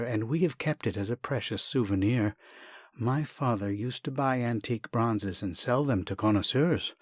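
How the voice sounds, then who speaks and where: A man's voice: mid-range voice, a little mellow, dry recording, clean studio sound. A man voicing an earnest, effusive young art lover and devoted son in a comic story, gushing, emphatic and pleading. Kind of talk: acted reading of a comic short story.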